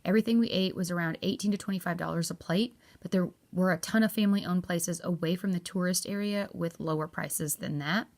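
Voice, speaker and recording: A woman's voice: lowish voice, fairly quick, hardly any pauses, a little mellow. A woman with a Southern US accent, narrating a chatty, upbeat travel review in a folksy way. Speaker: travel vlogger.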